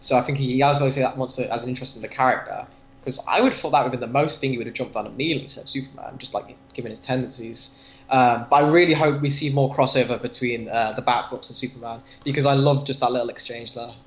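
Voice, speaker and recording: A man's voice: mid-range voice, fairly even intonation, quick pace. A man chatting casually as a podcast co-host, rambling earnestly in a fan discussion. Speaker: comic book review podcast co-host. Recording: roomy, slightly dull voice recording.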